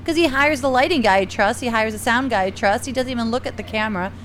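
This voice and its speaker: A woman co-host speaking brisk and sure in casual chat. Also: on the high side, fairly bright tone, slight background noise. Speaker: podcast co-host. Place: outdoors on a busy street.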